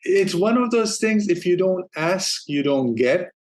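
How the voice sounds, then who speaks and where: A man from Denmark, around his forties, a guest in an interview, telling his career story in a relaxed, easygoing way with frequent restarts and easy laughter. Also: high-pitched, unhurried. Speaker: guest, streaming operations manager. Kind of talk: casual interview talk.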